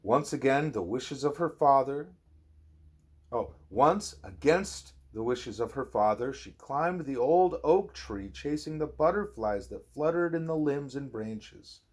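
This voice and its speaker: A man reading a storybook aloud to a child as a parent, expressively and with drawn-out phrases. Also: medium pitch, expressive.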